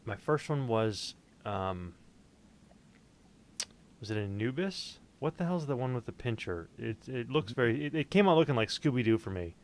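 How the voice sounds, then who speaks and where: A middle-aged man co-hosting a livestream in casual, halting, jokey chat, often interrupting himself. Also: medium pitch, lively intonation. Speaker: co-host of a livestream.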